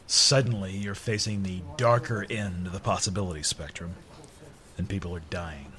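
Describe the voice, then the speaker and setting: A man playing a gruff, decisive base commander in an audio drama, with a dry, plain-spoken, commanding manner. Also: deep voice, fairly even intonation, normal speed, sharp tone. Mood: grave, brisk.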